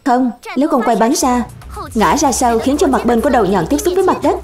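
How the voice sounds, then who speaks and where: A woman voicing excitable young spinning-top players in a dubbed cartoon, with a dramatic, exclamatory delivery. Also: a little high, a little fast, music in the background, lightly acted. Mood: amazed. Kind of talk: animated cartoon dub, several characters under one speaker number.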